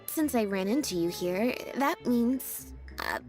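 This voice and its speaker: An actress voicing a calm, deadpan young woman, a stage magician's assistant in a video game, speaking in a flat, understated, dryly matter-of-fact way. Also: fairly high pitch, takes it slowly, soft background music.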